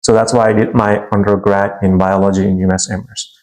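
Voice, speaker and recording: A man's voice: fairly low pitch, level tone, quick pace, a little mellow, rough recording. A man in his thirties from Nepal, a native Nepali speaker, telling his story as a guest in a casual interview, with many fillers and restarts.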